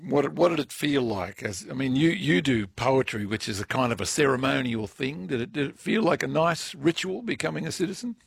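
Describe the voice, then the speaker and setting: A man's voice: medium pitch, a little fast, creaky, few pauses, slightly rough, no room sound, clean studio sound. A man from Australia hosting an interview, asking thoughtful questions with frequent stammered restarts. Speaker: radio interviewer.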